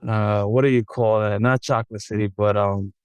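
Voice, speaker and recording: A man from the Dominican Republic, a podcast guest, talking in a relaxed, thoughtful way with frequent ums and restarts, mixing English and Spanish. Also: on the low side, moderate pace, smooth, no room sound. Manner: thoughtful, many ums and self-corrections. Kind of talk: casual interview, switching between English and Spanish.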